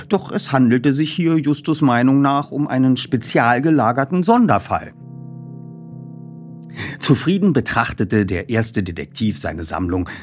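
Guy reading a detective audiobook for kids, really lively delivery, bit of music behind. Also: mid-range voice. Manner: animated, acts out the dialogue. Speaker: audiobook narrator. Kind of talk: scripted audiobook narration of a youth detective story.

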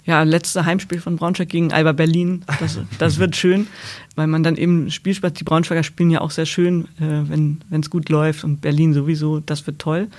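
A woman's voice: deep voice, flat delivery, moderate pace, a little mellow. A woman sports journalist answering questions as a guest, thoughtful and hesitant, often restarting her sentences. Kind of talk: podcast interview answers.

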